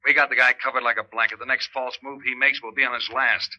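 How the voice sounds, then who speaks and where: An actor playing a gruff, contemptuous American police inspector who barks and sneers in a 1940s radio drama. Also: male voice, medium pitch, fairly even intonation, fast talker, lo-fi sound, natural voice. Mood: menacing. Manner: barking, sneering. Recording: old 1940s radio recording.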